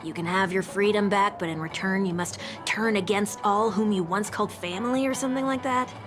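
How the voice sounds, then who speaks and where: An actress playing a sharp, sarcastic young woman who speaks bluntly and teases, with music playing behind her. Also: mid-range voice, fairly quick, close to an everyday voice. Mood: skeptical. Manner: teasing and blunt. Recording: game soundtrack music behind.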